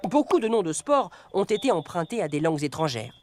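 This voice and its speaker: Lively woman explaining words on radio. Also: on the low side, brisk, noisy background. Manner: playful, explaining, quiz-like. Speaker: presenter of a language segment. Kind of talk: scripted educational radio segment.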